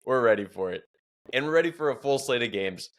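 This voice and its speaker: Young male lead host, joking and energetic, average pitch, casual delivery. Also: brisk. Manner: joking, energetic, leads the show. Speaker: fantasy football co-host. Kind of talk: casual podcast analysis.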